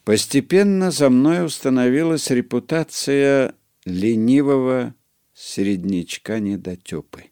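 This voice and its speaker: A man reading his own first-person memoir aloud in a measured, dryly ironic storytelling manner. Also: mid-range voice, mellow, clean tone, no room sound, studio quality. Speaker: narrator.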